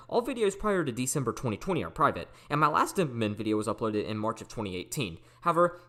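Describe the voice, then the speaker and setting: Animated fast-talking teenage guy. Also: mid-range voice. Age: teens, almost seventeen. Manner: speaks up loud and clearly. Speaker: YouTuber telling personal stories. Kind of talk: scripted storytime narration. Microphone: recorded at a computer or phone.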